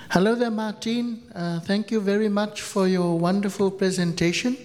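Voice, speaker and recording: A man asking a polite, formal question from the floor at a conference, pausing often with "uh". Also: sits high, fairly even intonation, darkish tone. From Samoa. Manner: polite, formal, many "uh" pauses. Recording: long reverb of a conference hall.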